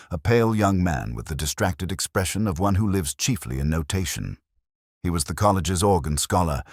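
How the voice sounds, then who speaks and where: A man reading first-person detective fiction in a measured, literary, brooding way, with a gravelly, husky voice. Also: low voice, dry recording, studio quality. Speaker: narrator of a detective story. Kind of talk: first-person scripted mystery narration.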